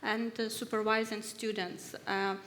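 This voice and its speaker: A middle-aged woman from Ukraine, speaking halting with many hesitations as a research fellow at a workshop. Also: mid-range voice, flat delivery, a bit bright. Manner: halting non-native English, frequent hesitations. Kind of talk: workshop panel talk. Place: conference hall. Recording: reverberant hall, faint background noise.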